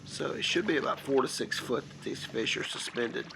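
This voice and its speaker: A man in his thirties with a hoarse, gravelly voice explains his fishing in a slow, steady way. He's out on a creek in a kayak, recorded by a camera mounted behind him. The audio is noisy, with water and insects clearly heard around him. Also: medium pitch. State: hoarse from a cold. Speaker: kayak angler. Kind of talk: casual fishing narration. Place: kayak on a wooded creek outdoors.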